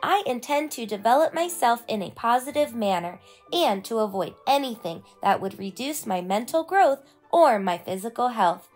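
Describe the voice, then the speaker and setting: Woman voicing an excitable, high-pitched little boy. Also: normal speed, soft background music. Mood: earnest. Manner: eager, proud, explaining earnestly. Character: excitable, earnest young boy learning martial arts. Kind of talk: children's storybook read-aloud.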